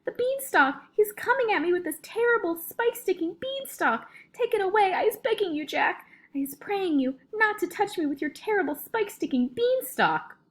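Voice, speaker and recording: A woman's voice: very high voice, animated, normal speed, few pauses, pitched above the performer's own voice. A woman voicing a huge brutish male giant in a storybook, screaming and begging in terror. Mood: desperate pleading. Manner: screaming, bellowing, panicked begging. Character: terrified huge brutish man-eating male giant, pleading wildly. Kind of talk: animated storybook read-aloud.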